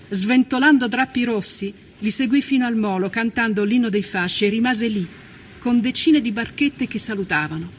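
Male actor doing solemn history doc narration, high voice for a guy, literary, bit of background noise. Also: close to an everyday voice. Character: solemn narrator recounting a historical popular uprising. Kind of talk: scripted documentary narration.

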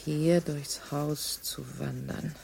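Casting: discontented letter-writing woman, heavy vocal fry, low-pitched, radio drama with some background noise. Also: steady tone, darkish tone, full character voice. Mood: weary, resolute. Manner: measured, deliberate letter dictation. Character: discontented woman composing a letter to her partner.

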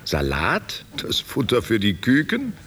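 A man's voice: lowish voice, wildly swooping pitch, measured pace, fairly bright tone, full character voice. An actor playing an old, tender, self-mocking man courting a younger woman in a German radio drama, intimate and wry. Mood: playful, brisk. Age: older. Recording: noisy, hissy radio recording.

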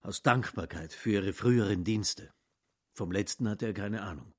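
A man's voice: fairly low pitch, moderate pace, husky, dry recording, studio quality. A man narrating a literary radio drama in a sober, scripted style.

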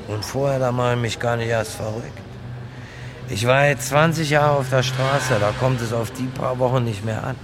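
A man's voice: medium pitch, moderate pace, over music, natural voice. An actor playing a middle-aged homeless man, plain-spoken, modest and composed. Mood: unruffled. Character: unruffled, modest homeless man fronting a donation campaign. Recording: outdoor street noise, traffic.

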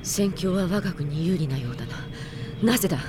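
A woman's voice: on the low side, lively intonation, a little fast, dark tone, a little hiss or hum, lightly acted. An actress playing a proud, defiant female dragon knight who speaks in a formal, commanding way in a Japanese radio drama. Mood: wary, defiant. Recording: drama production with music behind.

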